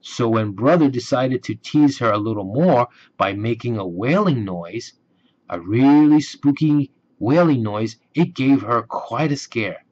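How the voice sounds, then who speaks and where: Male storybook narrator. Unhurried, gentle and very expressive, on a slightly dull recording. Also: medium pitch. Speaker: children's storybook reader.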